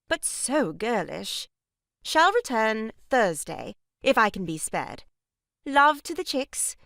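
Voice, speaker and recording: Self-important sister-in-law, sharp-tongued, brisk, lively high-ish pitch, dry studio sound, stage farce. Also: female voice, no echo, full character voice. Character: bossy, gushing married sister-in-law, sharp-tongued matron. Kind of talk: stage farce audio drama acting.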